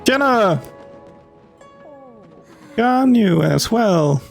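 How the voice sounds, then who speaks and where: A man's voice: high voice, very animated, drawn-out words, vocal fry. A man giving casual, enthusiastic commentary over gameplay, often repeating short phrases. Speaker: gaming video creator. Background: game music behind the voice.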